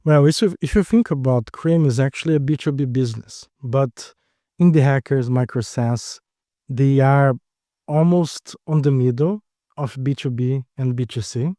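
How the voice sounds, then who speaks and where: A man's voice: mid-range voice, normal speed, mellow, no room sound, studio quality. A man from Brazil with a Brazilian accent, a startup co-founder answering interview questions in short, explanatory phrases.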